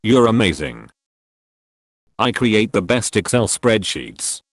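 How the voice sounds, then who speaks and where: A man narrating forum posts and their replies in a steady reading voice. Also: lowish voice, takes it slowly, no room sound, clean studio sound. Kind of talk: scripted narration.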